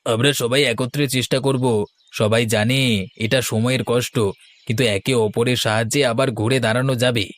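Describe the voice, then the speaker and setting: A man's voice: mid-range voice, steady tone, fairly quick, close to an everyday voice. A man voicing an earnest, resolute and supportive village neighbour in a cartoon. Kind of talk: scripted cartoon dialogue.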